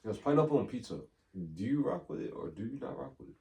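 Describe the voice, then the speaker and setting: A man hosting a casual podcast, hoarse from a cold, loose and slangy, repeating himself as he talks. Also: mid-range voice, animated, quick pace, mellow. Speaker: podcast host. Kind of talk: casual chat. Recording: distant mic with faint room noise.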